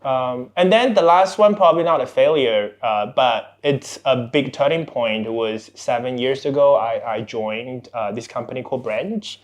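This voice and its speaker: A man's voice: mid-range voice, normal speed, hardly any pauses. A man speaking casually as a guest in a podcast interview, often restarting his phrases. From born outside Singapore, Chinese cultural background. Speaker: podcast guest, tech executive.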